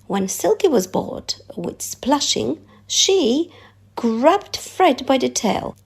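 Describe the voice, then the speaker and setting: Woman, children's story narrator, expressive reading, unhurried pace, breathy husky tone. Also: sits high.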